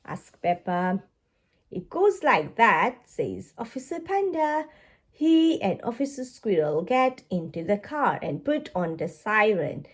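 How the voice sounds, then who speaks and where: A woman reading a storybook aloud to young children in an expressive, deliberate way. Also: mid-range voice. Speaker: children's book read-aloud narrator.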